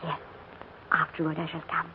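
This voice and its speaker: A woman's voice: low-pitched, monotone, moderate pace, dull audio, lightly acted. An actress playing a brave, earnest, devoted young Frenchwoman in a 1940s radio drama. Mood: gentle resolve. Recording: thin, hissy old radio recording.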